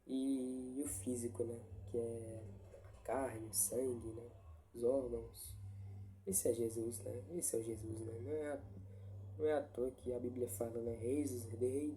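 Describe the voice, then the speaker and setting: Man, stuffy head cold, very dark mellow tone; casual, halting devotional talk; faint noise behind. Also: medium pitch. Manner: halting, many restarts and tag questions. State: congested with a cold. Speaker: lay preacher sharing a Bible reflection.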